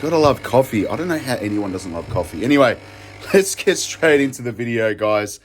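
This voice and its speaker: An Australian man hosting a casual investing vlog, chatty and excitable, tired and losing his voice. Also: medium pitch, a little fast, a little hiss or hum. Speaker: crypto YouTube host.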